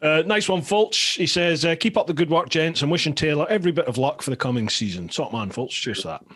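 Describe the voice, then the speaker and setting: A man's voice: a little high, fast talker. A man from the south of England hosting a podcast, chatting casually and enthusiastically and reading out listener messages. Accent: southern English. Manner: enthusiastic, excitable, reads listener messages aloud. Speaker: podcast host. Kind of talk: casual interview chat.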